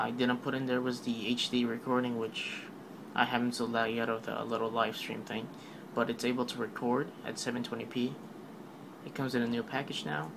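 Offhand man recapping a keynote, flat voice. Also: mid-range voice, creaky, noisy background. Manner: offhand, rambling, filler-heavy. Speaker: tech video narrator. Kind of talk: casual voice-over recap of a keynote.

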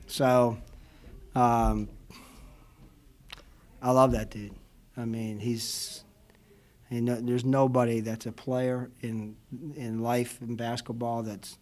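A man's voice: medium pitch, a little mellow. A man in his fifties, a college basketball head coach, speaking at a postgame press conference from a table mic, rambling and heartfelt with many fillers. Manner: rambling, emotional, many fillers like "you know what I mean". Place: indoor press room before a logo backdrop. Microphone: table mic in front of him.

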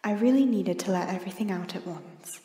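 A young woman playing an earnest princess who confides a secret, reading a letter aloud in a measured, sometimes breathy way for an audio story. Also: medium pitch, mellow, close to an everyday voice. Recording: echoey, long reverb, hobby audio drama.

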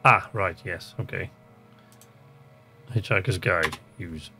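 A man's voice: low-pitched, slow, over music. A man giving casual gameplay commentary, talking to himself and reading game text aloud in an easily amused, musing way. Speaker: gamer, video host.

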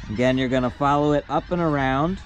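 A young man, a sailing instructor, calmly walking through tying a knot step by step, recorded outdoors on a boat deck. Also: medium pitch, a little fast, slight background noise, rough recording. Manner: patient, step-by-step, plain-spoken. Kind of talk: hands-on knot-tying demonstration.

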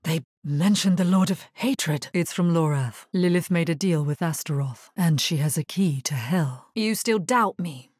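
An actress voicing a solemn, grave necromancer in a video game, with measured, formal delivery. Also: female voice, sits low, a little mellow, slightly rough, no echo, studio quality. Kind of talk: scripted video game character voice lines.

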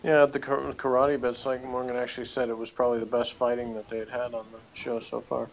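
A man giving his opinions in a casual review chat, talking in a halting, conversational way. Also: medium pitch, little pitch movement, creaky, a little hiss or hum, rough recording.

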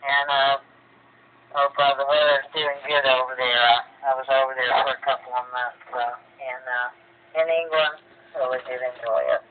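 A man in a casual, halting amateur radio exchange, heard over a radio link. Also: mid-range voice, steady tone. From United States. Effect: radio transmission. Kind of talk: casual ham radio contact. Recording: tinny radio channel, faint noise.

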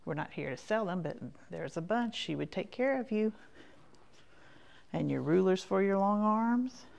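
A woman's voice: medium pitch, animated, measured pace, vocal fry, long pauses, a little mellow. A woman giving a casual, chatty shop tour in short folksy remarks that trail off, recorded as she walks around indoors. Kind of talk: casual rambling tour commentary. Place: indoors, walking through a quilt shop.

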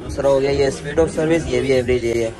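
A young man vlogging casually on a handheld phone, chatty and excitable as he shows places and food. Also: medium pitch, moderate pace, over music, crowd noise. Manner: chatty, excitable, calls out to children playfully. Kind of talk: casual travel vlog narration. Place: outdoors by the sea, then a mall and a restaurant. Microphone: handheld phone camera.

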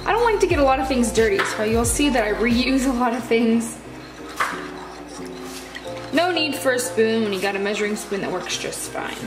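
A high-pitched American woman gives a casual cooking demonstration in a home kitchen. She explains each step in a chatty way. The room is echoey and music plays behind her. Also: expressive, normal speed.

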